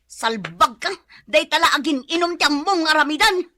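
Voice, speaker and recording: A woman's voice: high-pitched, animated, normal speed, bright, forceful tone. A woman playing a nagging, exasperated wife in an Ilocano radio drama, with an Ilocano accent. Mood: angry, scolding.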